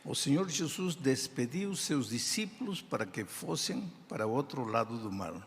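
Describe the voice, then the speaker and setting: An older man with a non-native accent in Portuguese, preaching a sermon in slow, deliberate phrases with emphatic pauses. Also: mid-range voice, expressive, slight background noise. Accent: non-native speaker of Portuguese. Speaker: pastor. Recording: reverberant hall sound.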